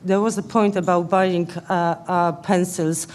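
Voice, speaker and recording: A woman from Poland with a Polish accent answers questions as a panellist at a public debate, in earnest, halting phrases. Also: on the low side, fairly even intonation, a little mellow. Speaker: political panellist. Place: live public question-time event indoors.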